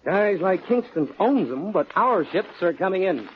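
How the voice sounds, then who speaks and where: Old radio villain, cool scheming gang boss, highish voice, smug, bossy, dull sound. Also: male voice, lively intonation, a little slow. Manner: smug, commanding. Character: cool, calculating boss of a ship-wrecking gang. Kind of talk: 1940s radio crime drama acting. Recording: dull old radio recording, faint noise.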